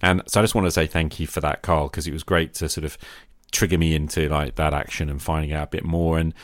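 A man's voice: very deep voice, fairly even intonation, fast, dry recording, clean studio sound. A man speaking as a podcast host about tabletop roleplaying, addressing the listener directly and encouragingly.

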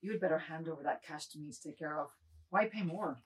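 A woman playing a cautious, gentle middle-aged man in a dramatized literary reading, speaking mildly and carefully with a husky voice. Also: deep voice, fast. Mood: quiet, prudent.

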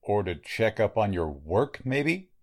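A man performs a blunt male medical examiner in a vintage radio drama. His voice sits fairly low. He is grave and deliberate, weighing out his lines. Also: big pitch swings. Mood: blunt, accusing. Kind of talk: 1940s radio drama acting.

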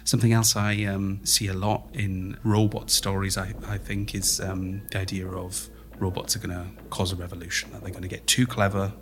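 A man's voice: deep voice, flat delivery, normal speed, fairly bright tone, slight background noise. A man speaking as an interviewed playwright, explaining his thinking in a reflective, conversational way.

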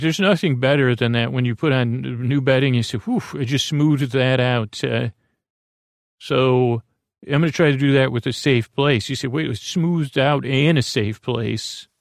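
Creaky-voiced man rambling softly toward bedtime. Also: mid-range voice, no echo, studio quality. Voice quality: creaky, croaky. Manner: meandering, halting, stammering, self-interrupting; soothing, lulling. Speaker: podcast host. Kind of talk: rambling bedtime podcast monologue.

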